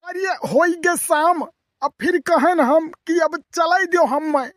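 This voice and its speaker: An older cartoon village man, a teasing uncle who grumbles through his tales. The voice is oddly high and unhurried, with a rustic eastern Uttar Pradesh accent, in a dry, close recording. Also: dry recording. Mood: indignant storytelling. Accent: eastern Uttar Pradesh (Awadhi). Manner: colloquial, exclamatory storytelling. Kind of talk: rustic comedy cartoon dialogue.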